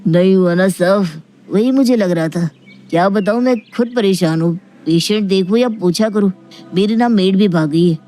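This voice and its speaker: Casting: performer as fussy male doctor in a comic story, mellow dark tone, plaintive and confiding. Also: mid-range voice, normal speed, natural voice. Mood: exasperated, confiding. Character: fussy male doctor obsessed with mopping floors, exasperated.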